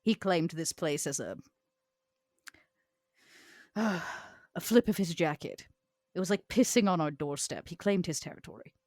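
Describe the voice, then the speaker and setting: A shrewd, proud female vampire , acted by a woman with a lively, swooping delivery. She is theatrical and cutting, choosing words deliberately, in a dry close recording of a tabletop game. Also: lowish voice, no echo. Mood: conspiratorial, coaxing. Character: Vera, proud, shrewd, long-lived female vampire enforcer. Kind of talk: improvised tabletop roleplay.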